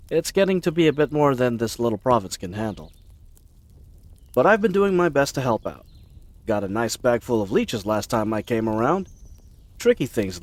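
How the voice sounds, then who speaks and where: A man playing a friendly, bumbling knight in a fantasy audio roleplay, chatty and rambling, with awkward, flustered hesitations. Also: medium pitch. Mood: chatty, curious. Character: friendly bumbling babbling young-hearted male knight, eager and awkward.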